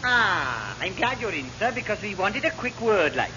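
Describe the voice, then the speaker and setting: A man's voice: high-pitched, big pitch swings, normal speed. An actor playing an eager, artless Welsh leading seaman, chirpy and naive, in a vintage radio comedy. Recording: dull, noisy old radio recording.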